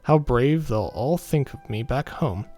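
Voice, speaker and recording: A man's voice: medium pitch, expressive, measured pace, warm tone, soft background music, lightly acted. A man reading the lines of a curious young storybook girl who muses to herself. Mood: musing. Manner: musing, talking to herself.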